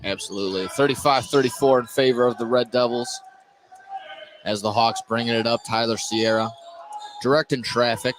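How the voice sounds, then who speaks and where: A male play-by-play announcer calls live basketball for radio in clipped phrases. His voice sits at an ordinary pitch. Clear gym noise runs behind him. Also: fairly even intonation, moderate pace. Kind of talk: live sports radio commentary. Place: indoor basketball gymnasium. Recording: noisy gym ambience, bouncing basketballs. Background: gym noise and basketball bounces.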